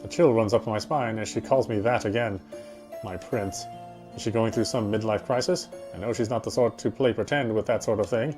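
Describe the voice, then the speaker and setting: A man's voice: lowish voice, a little fast, a little mellow, soft background music, natural voice. A man playing a wry, self-justifying British husband, confiding his brooding inner monologue as first-person game narration. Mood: brooding, confused.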